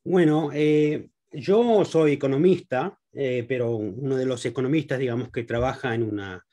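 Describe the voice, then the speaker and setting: A man who grew up in Argentina, a guest economist in an interview, speaking haltingly with many fillers and restarts. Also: mid-range voice, mellow. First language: Spanish.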